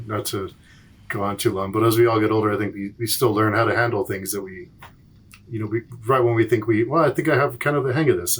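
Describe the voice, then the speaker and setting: A man co-hosting a podcast, talking casually in a low-key, reflective and rambling way. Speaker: podcast co-host. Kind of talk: casual chat.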